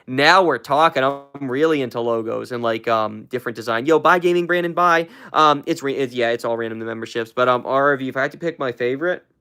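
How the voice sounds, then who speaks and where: A live stream host chatting with viewers, rambling with many fillers and repeats, and shooting way up in pitch to hype up gifts and shout out names. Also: fast talker, few pauses, clear, resonant tone. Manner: hyped, shouting out viewers, jumps way up in pitch when thanking for gifts.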